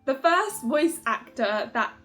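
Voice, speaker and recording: A woman's voice: sits high, lively intonation, slow pace, fairly bright tone, soft background music. A woman hosting her own video, chatting casually to viewers in a giggly, informal, rambling fangirl manner. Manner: giggly, gushing fan talk, informal and rambling. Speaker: host of a video channel about otome games.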